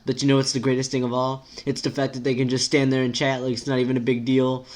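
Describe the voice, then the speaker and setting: A man's voice: mid-range voice, monotone, fast talker, a little mellow, natural voice. A man voicing a vain, irritable, sarcastic teenage girl with a biting, emphatic delivery. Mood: bitter sarcasm.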